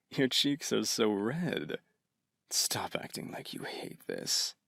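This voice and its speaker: A man's voice: fairly low pitch, big pitch swings, takes it slowly, vocal fry, bright, forceful tone, dry recording, studio quality, heavily acted. A man playing an obsessive, possessive kidnapper in an intimate ASMR roleplay, sweetly menacing and teasing, often dropping into whispers. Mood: smitten, teasing.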